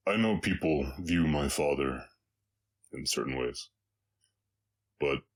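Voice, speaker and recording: Man voicing a very deep, gentle orc cowboy. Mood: tender, hesitant. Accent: slight Southern US. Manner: halting, reflective, soft-hearted, pausing often. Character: gentle, weary orc cowboy, reformed bounty hunter. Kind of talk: intimate roleplay audio drama, spoken to a listener.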